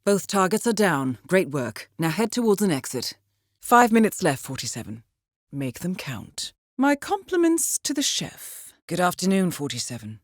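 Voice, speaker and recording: An actress playing a composed, articulate intelligence handler in a video game, briefing an assassin in a measured, precise, formal way. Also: female voice, fairly low pitch, sing-song, dry recording, studio quality. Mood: composed, businesslike. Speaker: mission handler.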